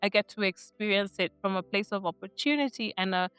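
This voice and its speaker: A woman's voice: mid-range voice, brisk, fairly bright tone, smooth, dry recording, soft background music. A middle-aged woman from Kenya giving an earnest, reflective talk to camera, often restarting her phrases and checking in with "right?". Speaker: vlogger.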